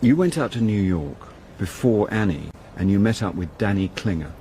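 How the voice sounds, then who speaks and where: A man's voice: fairly low pitch, lively intonation, moderate pace, dark tone, a little hiss or hum, lightly acted. An actor playing a wry middle-aged British man, a jaded dad and amateur sleuth, in a radio drama with first-person narration. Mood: probing. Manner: dry, wry, sardonic.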